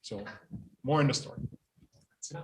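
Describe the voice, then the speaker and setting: A man's voice: moderate pace, a little hiss or hum. A man, a school principal, telling an earnest personal story at a community panel, with fillers. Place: library event room. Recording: voice from far off the mic.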